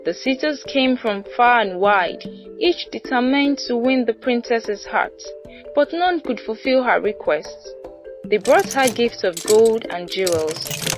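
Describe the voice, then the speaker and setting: A woman narrating a scripted folktale as a storyteller. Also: a little high.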